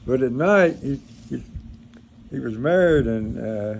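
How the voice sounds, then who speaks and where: Older male, gravelly, plain-spoken storyteller, informal, noisy recording. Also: medium pitch, expressive, measured pace, a little mellow. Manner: folksy, plain-spoken, rambling. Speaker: grandfather recounting his military past. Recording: noisy room, clear background noise.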